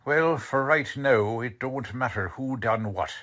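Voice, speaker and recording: A man playing a shrewd, suspicious investigator in a 1940s-style radio drama, questioning deliberately in colloquial, ungrammatical speech. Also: medium pitch, fairly bright tone. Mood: concerned, businesslike. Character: shrewd streetwise male investigator, quietly suspicious.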